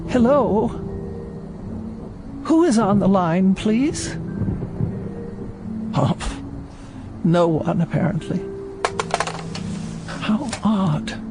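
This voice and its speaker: Frail, proper, fretful old woman, unhurried delivery, radio drama over clear music bed. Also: medium pitch. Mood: puzzled, uneasy. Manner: hesitant, fussy, proper. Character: frail, lonely, fretful elderly woman living alone. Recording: radio play with music underneath.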